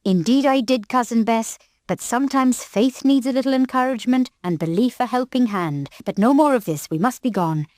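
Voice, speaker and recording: A woman's voice: a little high, normal speed, few pauses, darkish tone, no room sound, studio quality, pitched above the performer's own voice, natural voice. A woman voicing a playful male ghost cousin who speaks in old-fashioned, riddling phrases. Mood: reassuring. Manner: archaic, riddling speech.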